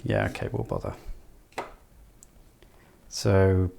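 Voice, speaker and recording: A man's voice: sits low, very animated, slow, gravelly, mellow, slight background noise. A man casually talking through a hands-on electronics build, thinking aloud in a tentative, self-deprecating way. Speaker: host of an electronics project channel.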